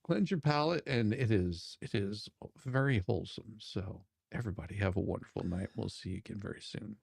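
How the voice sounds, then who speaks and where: A man's voice: on the low side, fairly quick, creaky, a little mellow, breathy edge, no echo, studio quality. A man hosting a livestream, chatting casually in a giggly, encouraging way. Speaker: stream host. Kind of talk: casual group chat on a livestream.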